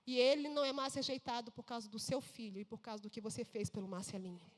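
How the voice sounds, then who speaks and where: A woman voicing a soft grateful mother. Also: on the high side, steady tone, quick pace, in a large hall, voice pushed down, close to an everyday voice.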